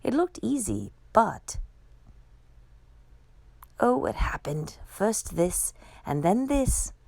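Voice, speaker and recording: A woman reading a children's picture book aloud with expressive, dramatic storytelling. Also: medium pitch, slow, creaky, dry recording.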